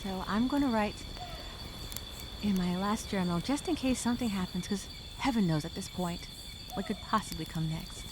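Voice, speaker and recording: A woman performing a stranded castaway speaking a journal aloud. She is wry and weary, with a sarcastic streak of hope. Crickets and insects chirp clearly behind her. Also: medium pitch, normal speed, noisy background, lightly acted. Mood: weary musing. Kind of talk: dramatic first-person journal monologue.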